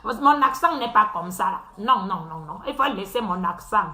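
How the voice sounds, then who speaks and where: A woman's voice: medium pitch, expressive, moderate pace, bright, forceful tone. A woman hosting a live stream, teasing her viewers in a mocking rant, laughing often and repeating phrases. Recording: echoey room.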